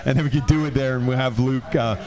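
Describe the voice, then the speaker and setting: A man's voice: medium pitch, fairly even intonation, fast, a loud crowd. A man hosting a casual podcast, rambling and laughing often, trailing off mid-sentence. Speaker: podcast host. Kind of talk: casual banter.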